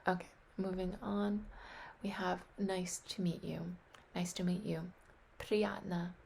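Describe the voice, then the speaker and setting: Soft-whispering woman with a creaky voice. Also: lowish voice, little pitch movement, slow pace, a little hiss or hum. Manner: soft whispering, repeats words aloud to practice; unsure, self-deprecating. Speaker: language learner practicing phrases. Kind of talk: whispery language practice.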